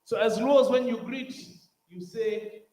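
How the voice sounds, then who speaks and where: A man with a Ugandan accent, emceeing a conference from a stage mic in an energetic, crowd-rousing way. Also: very high-pitched, slow, rough recording. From northern Uganda. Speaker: conference master of ceremonies. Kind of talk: lively emcee hosting a conference. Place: large conference hall.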